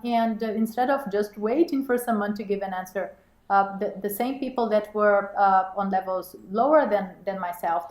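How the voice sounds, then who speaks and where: Woman exec on an interview, stops and restarts a lot, echoey room. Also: medium pitch. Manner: halting, frequent restarts and repeated words. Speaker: guest, corporate managing director. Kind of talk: interview conversation. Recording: roomy echo.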